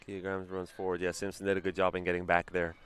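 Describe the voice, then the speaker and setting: Casting: male soccer commentator with a very low, smooth, resonant voice and a relaxed, chatty delivery. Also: fast talker. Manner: easygoing, chatty, self-correcting. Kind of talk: play-by-play commentary.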